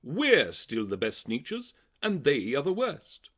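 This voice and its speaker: Man voicing haughty storybook creature, swooping pitch. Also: wildly swooping pitch, measured pace, fairly bright tone, full character voice. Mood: dismayed indignation. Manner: haughty, exclamatory. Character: snooty boastful bird-like creatures, haughty and indignant.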